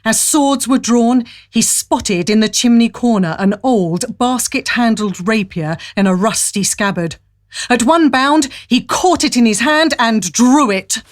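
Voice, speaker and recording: Higher-voiced woman narrating a story. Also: a little slow. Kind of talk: dramatic scripted story narration.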